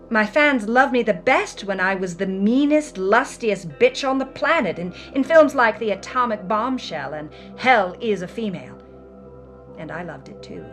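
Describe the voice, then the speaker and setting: A jaded 1950s film actress on the run, telling her story in an audio drama. Her mid-pitched delivery is theatrical, wry and confessional. Music plays behind her. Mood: impassioned. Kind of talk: dramatic first-person monologue.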